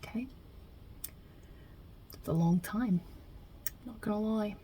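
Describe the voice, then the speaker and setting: A woman's voice: mid-range voice, takes it slowly, dark tone, slight background noise, pitched above the performer's own voice, close to an everyday voice. A woman playing a non-binary Italian mob boss God with a put-on New York Italian-American accent, wry and casual with muttered asides, in an ASMR roleplay. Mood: dry, teasing.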